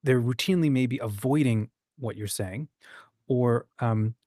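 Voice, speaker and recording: A man's voice: mid-range voice, measured pace, darkish tone, no room sound. Casual man with a dry, close sound. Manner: acts out short quoted examples. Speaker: host of a writing discussion. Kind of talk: casual explanatory discussion.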